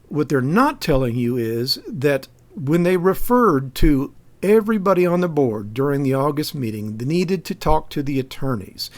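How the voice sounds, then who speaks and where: An American man delivering spoken rebuttal commentary in an emphatic, pointed, argumentative way. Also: on the high side. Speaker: activist commentator giving a response.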